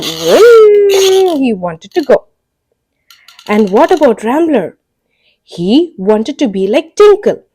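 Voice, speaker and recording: A woman tells a children's story with a high voice that leaps up and down. She takes her time and lets the words stretch out. She keeps it playful, breaks into zooming and revving car noises and asks her young listeners questions along the way. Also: a little mellow. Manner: playful, makes vehicle sound effects. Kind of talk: animated storytelling for kids.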